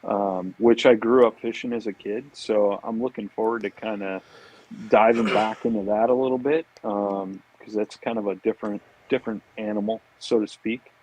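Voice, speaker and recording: A man's voice: lowish voice, steady tone, normal speed, a little mellow, a little hiss or hum, rough recording. An American man chatting casually as a podcast guest, laid-back and informal. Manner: laid-back, informal, repeats agreement words. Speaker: podcast guest, fishing industry marketer. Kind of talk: casual chat.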